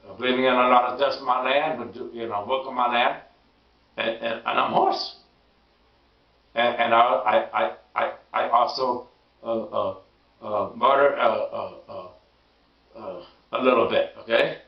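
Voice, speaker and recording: Hoarse stammering man, slow and roomy. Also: fairly even intonation. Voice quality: stammers and repeats words. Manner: emphatic, rising pitch when indignant. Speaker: political commentary vlogger. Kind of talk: casual political monologue. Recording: roomy distant lo-fi sound.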